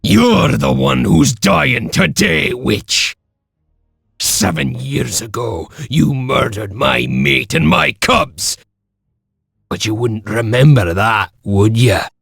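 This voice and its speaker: An actor playing a gruff, bold and vengeful wild warrior in a video game, with a gravelly, raspy voice. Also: male voice, mid-range voice, lively intonation, slow pace, fairly bright tone, no echo, studio quality, full character voice. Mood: bitter.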